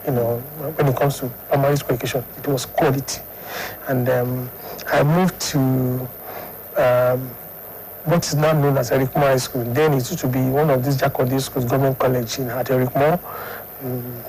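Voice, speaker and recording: A middle-aged man from Lagos with a Nigerian accent, answering interview questions in a halting way and repeating himself. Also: mid-range voice, level tone, darkish tone, slight background noise, rough recording. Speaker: studio guest, sports psychologist and academic.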